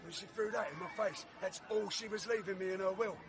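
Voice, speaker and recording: A bitter, vicious fairground man in a vintage radio play. His voice sits fairly high for a man. He taunts in rough slang and carries open menace. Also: steady tone, fast. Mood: bitter, cold. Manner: taunting, rough colloquial speech. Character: menacing, vicious-tempered fairground ride operator, bitter husband.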